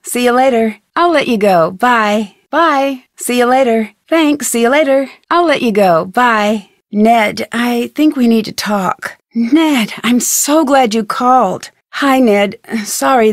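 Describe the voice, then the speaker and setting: A young female sleuth from an adventure video game. Her voice sits fairly high and is recorded close and dry. She speaks politely and clearly, with an inquisitive turn to her scripted lines. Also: lively intonation, unhurried, hardly any pauses, no room sound. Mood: apologetic, earnest. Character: curious, polite young female amateur detective. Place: studio.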